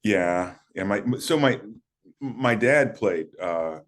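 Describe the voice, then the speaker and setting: A man in his fifties from the United States, recalling his playing days in a relaxed, halting interview manner with many fillers. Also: fairly low pitch. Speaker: guest, former college running back. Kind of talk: casual interview talk.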